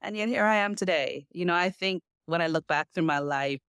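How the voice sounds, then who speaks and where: A woman in her forties from the Bahamas with a Bahamian accent, a podcast guest telling her story in a lively way and laughing often. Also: lowish voice, brisk, clear, resonant tone, no room sound, studio quality. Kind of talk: casual interview chat.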